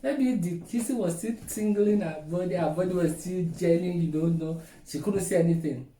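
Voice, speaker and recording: A man's voice: high voice, normal speed, mellow. A middle-aged man with a Nigerian accent, playfully teasing as he tells stories in casual chat. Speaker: co-host of a couple's relationship vlog. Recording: echoey room, distant microphone.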